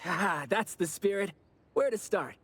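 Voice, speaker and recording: An actor playing a playful, teasing senior bureau officer in an anime game, with drawn-out, theatrical delivery. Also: mid-range voice, close to an everyday voice. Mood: confident, easygoing.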